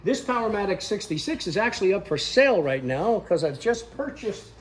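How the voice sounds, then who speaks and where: An older man with a fairly high voice talks loosely and cheerfully as he shows off his woodworking shop. He explains things step by step and slips in small jokes. Faint shop hum sits under his voice in a big indoor space. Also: very animated, a little fast, poor audio. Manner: chatty, explanatory, joking. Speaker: woodworking school owner. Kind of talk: casual shop tour talk. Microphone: camera held by interviewer.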